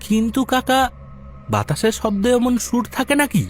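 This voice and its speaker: A male actor plays an inquisitive young city man in a cartoon story. His voice is high and very lively in pitch. He presses his questions stubbornly, with music behind him. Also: normal speed. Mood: curious. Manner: questioning, persistent. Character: curious, stubborn young city man visiting village relatives. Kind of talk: animated audio story dialogue.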